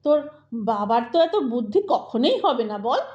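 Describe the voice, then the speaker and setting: A woman's voice: on the high side, very animated, moderate pace, darkish tone, muffled recording, voice pushed down. A woman voicing a wary, inquisitive middle-aged mother out shopping in an audio story. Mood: curious, pleased. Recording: echoey, reverberant voice track.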